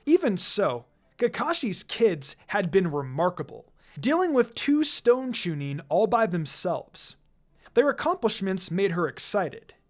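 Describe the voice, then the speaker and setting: A narrator reading fan fiction aloud in an expressive, scripted storytelling style. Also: medium pitch, a little slow. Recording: music behind the voice. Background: background music.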